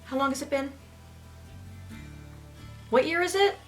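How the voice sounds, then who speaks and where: A young woman with a slight lisp reviewing a goth album in a casual, bubbly and dramatic way, a little sniffly and rough from allergies. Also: high voice, a little slow, faint music behind. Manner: bubbly and dramatic, squeals with excitement.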